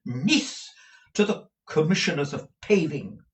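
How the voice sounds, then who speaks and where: A man's voice: a little high, big pitch swings, a little slow, a bit bright, voice pushed up. A man voicing a vain, fussy middle-aged mother who speaks in a pompous, easily offended and self-pitying way.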